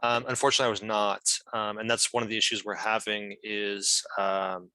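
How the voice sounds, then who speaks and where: Male legal advocate, flat intonation, bright tense edge, hesitant and careful informal meeting delivery with frequent restarts. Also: fairly low pitch, fairly quick, smooth, no room sound, low-quality recording. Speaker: legal team member speaking at a meeting. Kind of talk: informal explanation.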